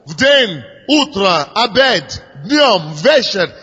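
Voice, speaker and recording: A man's voice: high voice, sing-song, takes it slowly, bright, forceful tone, slightly rough. A man preaching. He grows fervent, repeats his phrases and leads the people in prayer. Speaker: pastor. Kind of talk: sermon leading prayer. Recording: dull lo-fi recording in a reverberant hall with music.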